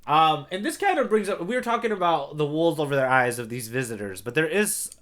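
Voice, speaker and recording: A man co-hosting a podcast in casual discussion, analytical and reasoning out loud through questions. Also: on the high side, big pitch swings, a little fast. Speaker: podcast co-host.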